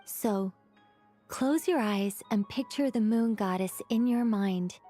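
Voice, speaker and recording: A woman voicing a serene yet talkative angel mentor in a video game, explaining things gently and theatrically. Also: mid-range voice, slow. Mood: wise, gentle. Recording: background music under voice.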